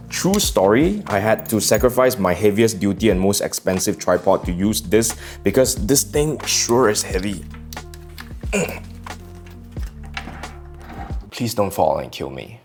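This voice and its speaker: Malaysian guy doing a tech review, lowish voice, dry jokes, soft music behind. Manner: wry, joking asides. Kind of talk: scripted product review.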